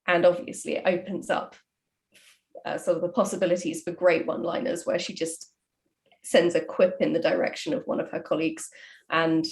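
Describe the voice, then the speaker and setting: A woman, a writer, talking casually and explaining her craft with animated emphasis. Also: lowish voice, a little fast, creaky. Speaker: audio drama writer. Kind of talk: casual discussion of writing.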